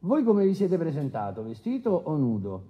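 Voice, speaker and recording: A sardonic, higher-voiced judge. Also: male voice, wildly swooping pitch, moderate pace. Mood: sarcastic, stern. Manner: interrogating, brusque, sarcastic, repeats answers back. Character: stern, sardonic male Italian presiding judge. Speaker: actor playing a presiding judge. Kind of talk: staged documentary courtroom drama. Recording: dull, lo-fi radio theatre recording.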